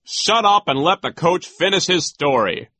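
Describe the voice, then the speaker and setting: A childish, pompous man in charge of a school, from a children's storybook performed by a woman. His voice is pushed high and forceful, swooping about as he mocks and bosses everyone with gloating relish. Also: huge pitch swings, measured pace, slightly rough, no echo, full character voice. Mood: scornful, bossy. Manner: mocking, bossy, gloating. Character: pompous, childish, mocking male vice principal, gleefully cruel.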